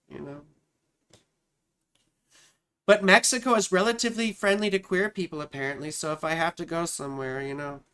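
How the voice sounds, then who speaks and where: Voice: medium pitch, a bit bright, clean tone. A chatty astrologer hosting a live stream, giving casual chart readings, talking straight to viewers and often repeating phrases. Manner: chatty, repeats her own phrases, addresses viewers directly.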